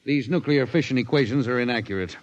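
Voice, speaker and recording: A man's voice: mid-range voice, fast talker, close to an everyday voice. An actor playing a curt, impatient male boss in a 1950s radio drama. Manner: curt and brusque. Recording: dull old radio broadcast.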